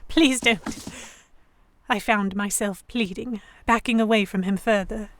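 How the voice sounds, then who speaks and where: A woman playing a prim, anxious yet defiant young Victorian noblewoman, narrating a historical romance audio drama in a formal, expressive way. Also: medium pitch, a little slow, a little hiss or hum, lightly acted. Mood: frightened, defiant.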